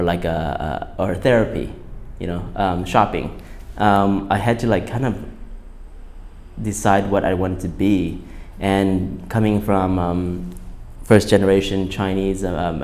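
A man in his thirties speaks in a deep, low voice. He answers questions in a relaxed, reflective manner, often pausing on fillers and restarting his sentences. He is seated at a table in a quiet studio, miked with a lapel mic. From Southern California. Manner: thoughtful, hesitant, many fillers and restarts. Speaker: fashion designer, guest.

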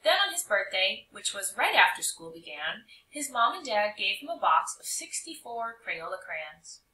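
Bright, animated woman reading a storybook. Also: medium pitch, normal speed. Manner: animated, emphatic phrasing. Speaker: reader of a children's picture book. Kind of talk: expressive storybook read-aloud narration. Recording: roomy echo, distant mic.

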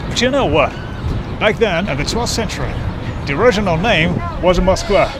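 A man's voice: high-pitched, animated, normal speed. Young man, street noise. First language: Russian. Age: young adult. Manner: wry, irreverent, playful asides. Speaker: vlogger. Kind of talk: casual educational vlog. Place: outdoors on city streets and squares. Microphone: handheld camera at arm's length.

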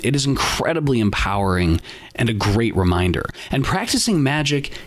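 A man's voice: medium pitch, sing-song, moderate pace. A man hosting a podcast, delivering a scripted monologue in an earnest, emphatic way. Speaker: podcast host.

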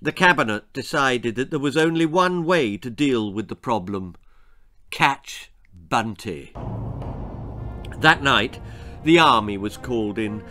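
Animated older British man telling a story. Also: medium pitch, slow, soft background music. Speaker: author reading his own story. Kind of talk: dramatic children's storytelling, read aloud. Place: small book-lined room indoors.

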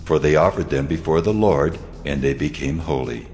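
A vast, cavernous echo carries the very deep voice of God. He gives orders in solemn, measured phrases, as in an audio scripture drama. Music plays behind him. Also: darkish tone. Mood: solemn, commanding. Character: God, solemn commanding ageless male deity.

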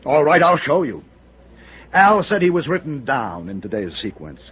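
A man's voice: on the high side, sing-song, normal speed, fairly bright tone, breathy edge, heavily acted. An actor playing a vain, self-pitying male comedy star under threat, in old-time radio drama style. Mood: defiant. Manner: hesitant, pleading, then boastful. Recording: dull vintage radio recording.

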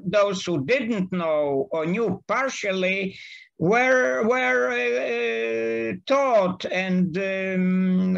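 A man in his eighties with a Polish accent, a native Polish speaker, recalling the past slowly and carefully on a video call from his home study. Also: high voice, gravelly, fairly bright tone. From Poland. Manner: slow, careful, halting delivery. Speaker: guest speaker. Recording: dull lo-fi video call audio.

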